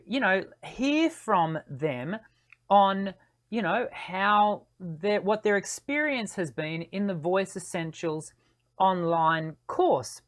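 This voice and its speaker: A woman, a singing teacher chatting casually to her live-stream audience and often restarting her phrases. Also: mid-range voice.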